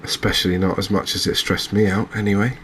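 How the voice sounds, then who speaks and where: A man giving gentle, unhurried ASMR commentary over a cozy fishing game, with hesitant, understated phrasing. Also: on the low side, flat delivery, a little hiss or hum. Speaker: host, video game streamer.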